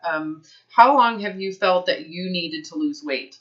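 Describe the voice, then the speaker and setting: Woman health advisor, low-pitched, firm and direct, on a muffled phone call. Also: a little slow. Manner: direct, probing questions. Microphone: phone held to mouth. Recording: dull lo-fi phone line.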